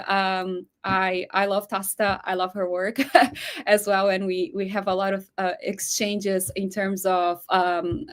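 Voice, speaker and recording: A woman from Brazil whose first language is Portuguese, speaking with a Brazilian accent and giving a chatty webinar lecture over a video call, full of fillers. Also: medium pitch. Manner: warm, chatty, frequent fillers and laughs. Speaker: guest speaker, professor.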